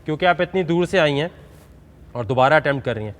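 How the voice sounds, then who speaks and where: A man's voice: a little high, expressive, quick pace, slight background noise. A man hosting a dare reality show, stern and mocking toward the contestants. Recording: music loud behind the voice.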